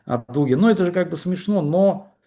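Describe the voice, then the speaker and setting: A man with a gravelly, dark, mellow voice talks through a political argument as an interview guest. He pushes his points with conviction but keeps stalling on fillers and restarting his phrases. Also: high-pitched, animated, a little fast. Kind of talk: political conversation.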